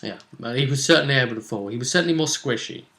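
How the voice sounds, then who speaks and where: A man's voice: medium pitch, lively intonation, racing, fairly bright tone. A man hosting a role-play vidcast, talking casually through previews of upcoming matches.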